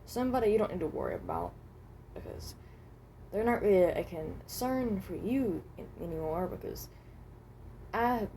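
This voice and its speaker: A woman voicing a reluctant, resigned animatronic rabbit from another dimension in a fan roleplay, speaking haltingly and correcting herself. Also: mid-range voice, sing-song, dark tone, a little hiss or hum, rough recording. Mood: resigned, wary. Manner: hesitant, halting, self-correcting.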